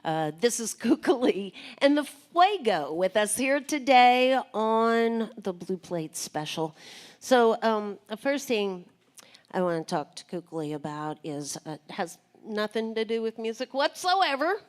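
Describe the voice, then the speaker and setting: A woman's voice: fairly high pitch, big pitch swings, a little slow. A woman hosting a live radio interview. She talks in a hesitant way, searching for words with many ums. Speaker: radio host.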